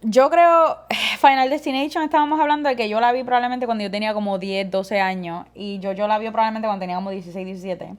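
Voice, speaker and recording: Fast-talking woman in her thirties. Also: medium pitch. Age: 30s. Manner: switches between Spanish and English mid-sentence. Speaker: podcast co-host. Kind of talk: casual chat.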